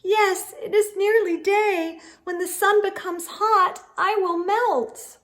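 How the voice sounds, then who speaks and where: A woman's voice: extremely high pitch, fairly even intonation, takes it slowly, voice pushed up. A woman voicing a small, kind, eager snowman in a children's storybook, with earnest questions and grateful replies. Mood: anxious, earnest.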